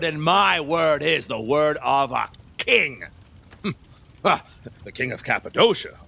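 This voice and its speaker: A man's voice: a little high, gravelly, sharp tone, full character voice. A volatile, grandiose decadent king. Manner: grandiose, volatile, swinging from murmurs to cries. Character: decadent, superstitious middle-aged king, lustful and erratic. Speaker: actor. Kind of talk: theatrical audio drama acting.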